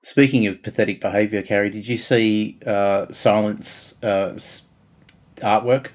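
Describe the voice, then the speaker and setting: A man's voice: fairly low pitch, fairly even intonation, measured pace. A man hosting a livestream with casual, mocking, jokey banter. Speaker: livestream host.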